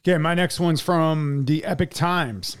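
A man co-hosting a podcast, reading a news article aloud haltingly with many fillers and sardonic asides. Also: a little high, smooth. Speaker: podcast co-host.

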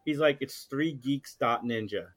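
A man with a mid-range voice in relaxed podcast conversation. He talks like a storyteller among friends, animated in his delivery and slipping into quoted dialogue. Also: mid-range voice, unhurried. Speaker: podcast guest and co-host of a geek culture show. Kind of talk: casual storytelling chat.

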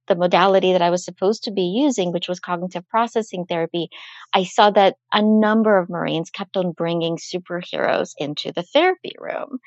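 Gravelly, nasal woman on a muffled line. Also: fairly low pitch, no echo. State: recovering from a cold, nasal. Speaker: clinical psychologist guest. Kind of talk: podcast interview answers.

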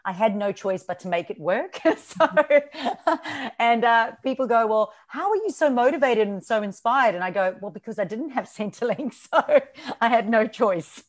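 A woman's voice: fairly high pitch, expressive, moderate pace. Chatty woman, South African-Australian accent. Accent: South African mixed with Australian. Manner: chatty, frequent ums and laughs. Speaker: podcast guest. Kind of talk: casual interview chat.

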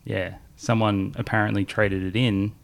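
A man's voice: on the low side, little pitch movement, normal speed, creaky. A man co-hosting a podcast, rambling through casual chat about games and chuckling often. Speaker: podcast co-host.

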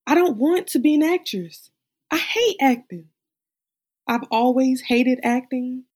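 A woman performing a dramatic monologue as an anxious, exasperated actress confessing her stage fright in a halting, emphatic way. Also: sits high, animated, darkish tone, husky, heavily acted. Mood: bitter, self-mocking.

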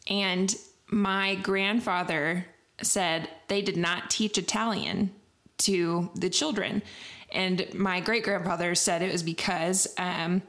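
A woman's voice: on the low side, steady tone, takes it slowly, a bit bright. A young woman in casual chat, talking in a teasing, chatty way and laughing as she talks.

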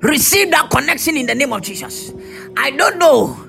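A man preaching and prophesying, fervent and repetitive, his voice hoarse and partly lost. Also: very high voice, sing-song, moderate pace, soft background music. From Ghana. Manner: fervent, repetitive, shouted declarations. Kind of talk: prophetic sermon with prayer.

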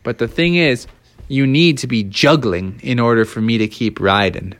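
A man's voice: mid-range voice, moderate pace, fairly bright tone, pitched below the performer's own voice, lightly acted. A father voicing a cheerful, easygoing male moose who talks in a laid-back way and calls everyone dude. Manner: laid-back surfer-ish "dude" talk.

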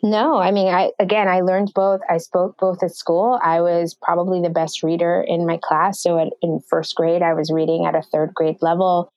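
A woman from New York, a marketing executive and podcast guest, answering in an articulate, measured way. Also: fairly low pitch, little pitch movement, darkish tone, dry recording. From New York, USA. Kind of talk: interview answers.

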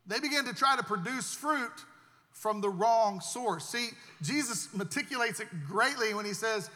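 A man's voice: extremely high pitch, expressive, moderate pace, pushed and bright, big-room sound. A man preaching a sermon as pastor, earnest and repeating himself as he builds each point.

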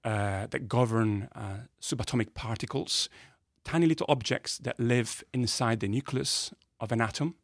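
A man with an Italian accent, a native Italian speaker, explaining science in a conversational interview with enthusiasm and pauses between phrases. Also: mid-range voice, dry recording, clean studio sound. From northern Italy. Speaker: guest physicist. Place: podcast studio.

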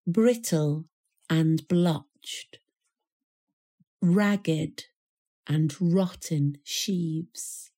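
A woman reciting a poem in a measured, solemn manner with deliberate pauses. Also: sits low, dark tone. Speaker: poetry reader. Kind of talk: poetry recital.

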